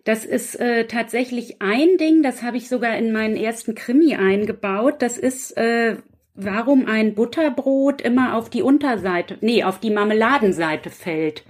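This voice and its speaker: A woman's voice: fairly high pitch, moderate pace. A woman speaking as a guest author in a casual conversation, chatty and self-deprecating. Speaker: guest author and quiz writer. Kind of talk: casual chat.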